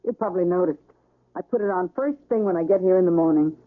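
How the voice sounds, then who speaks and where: An actress playing a frank, curious, gossipy hospital nurse with an easygoing manner in a 1970s radio drama. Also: female voice, lowish voice, fast, close to an everyday voice. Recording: tinny old radio broadcast recording.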